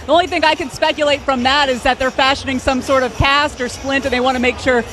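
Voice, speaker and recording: A woman's voice: high voice, fairly even intonation, fast, a bit bright. An American woman giving a live sideline report at a football game, speaking from the stadium field.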